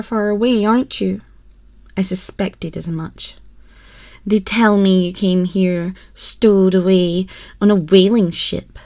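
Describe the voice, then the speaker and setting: A woman's voice: fairly low pitch, darkish tone, no echo. A woman playing a haughty, mocking empress in an ASMR roleplay, with imperious, condescending and theatrically formal delivery, close on a binaural microphone. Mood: mocking, probing. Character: haughty, cruel, mocking empress toying with a prisoner. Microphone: close binaural microphone, dry.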